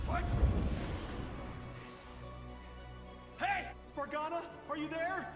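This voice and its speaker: A man's voice: extremely high pitch, fairly even intonation, very slow, heavily acted. Searching father in cavernous reverb. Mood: anxious, searching. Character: anxious father searching for his lost daughter, weary and bewildered. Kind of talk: video game voice acting. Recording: echoey, long reverb, game music behind.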